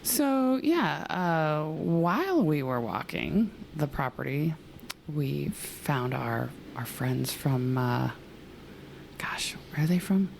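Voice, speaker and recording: A woman co-hosting a podcast in casual chat, her voice hoarse, chatty and quick to laugh, often trailing off mid-sentence. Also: deep voice, big pitch swings, slight background noise, poor audio. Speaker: podcast co-host.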